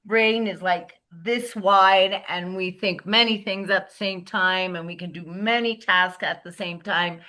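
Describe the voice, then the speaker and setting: A middle-aged woman, a guest on an interview show, talking in a relaxed way and often pausing, restarting and searching for words. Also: mid-range voice. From United States. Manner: slow, searching for words, many fillers and restarts. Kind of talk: casual interview talk.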